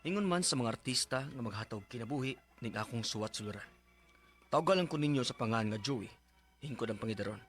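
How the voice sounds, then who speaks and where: A man's voice: mid-range voice, lively intonation, fast talker, faint music behind, lightly acted. A man playing a strict, short-tempered, aggrieved stepfather in a radio drama. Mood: earnest narrating. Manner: scolding, emphatic. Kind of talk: Philippine radio drama acting.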